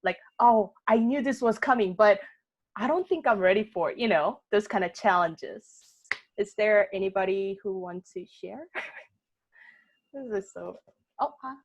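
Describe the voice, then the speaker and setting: A woman's voice: medium pitch, measured pace, highs cut off. Chatty woman hosting a casual discussion. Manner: chatty, many fillers, laughs often. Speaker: workshop moderator. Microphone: video call.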